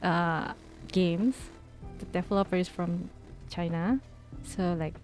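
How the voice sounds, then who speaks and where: A woman with a mellow, soft-edged voice reads the game's story aloud, then drifts into casual, thinking-aloud chat with her viewers. Faint music sits under her voice. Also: on the low side, steady tone, takes it slowly. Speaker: streamer. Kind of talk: reading game text aloud and chatting with viewers.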